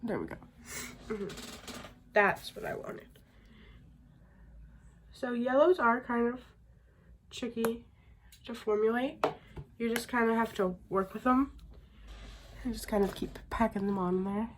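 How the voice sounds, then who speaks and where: Slow-talking, slightly raspy young woman. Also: a little high, slight background noise. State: getting over a sickness. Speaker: beauty vlogger. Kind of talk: casual makeup tutorial chat.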